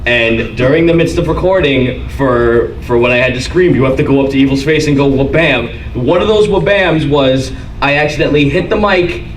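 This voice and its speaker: A man's voice: medium pitch, moderate pace, hardly any pauses, far from the mic. Man rambling through a story, echoey hall. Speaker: voice actor on a convention panel. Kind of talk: anecdote at a panel. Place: large convention hall.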